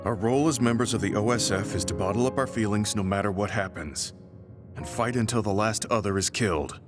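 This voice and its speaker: A man playing a middle-aged, guilt-ridden veteran soldier in a video game, speaking in a measured, earnest way. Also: on the low side, close to an everyday voice. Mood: sorrowful, guilty. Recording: studio voice under loud game music.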